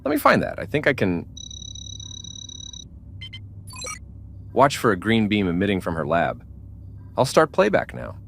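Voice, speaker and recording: A man playing a helpful starship doctor in an amateur sci-fi drama, talking through his work in a measured way. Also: fairly low pitch, huge pitch swings, creaky, fairly bright tone, slight background noise, heavily acted. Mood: focused. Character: obliging male starship doctor working the data displays.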